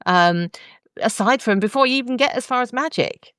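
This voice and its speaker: A woman hosting a podcast interview, asking measured, thoughtful questions. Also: fairly high pitch, fairly bright tone, clear, resonant tone, no room sound, studio quality. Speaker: podcast host.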